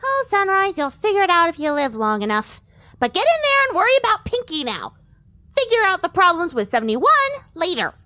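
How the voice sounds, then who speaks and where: Bossy pink pony mare, super high squeaky girly voice, bouncing all over, audio drama. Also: wildly swooping pitch, a little fast, bright, forceful tone, dry recording, clean studio sound, full character voice. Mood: scolding, urgent. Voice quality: squeaky, girlish. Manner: bossy, exclamatory. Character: hyper, scolding pink pony mare living in someone's head.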